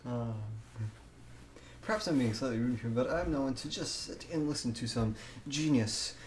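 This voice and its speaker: A man's voice: medium pitch, normal speed, a little hiss or hum. A man live streaming in a casual way, chatting and reading a game aloud with a hesitant, stammering delivery that often repeats words.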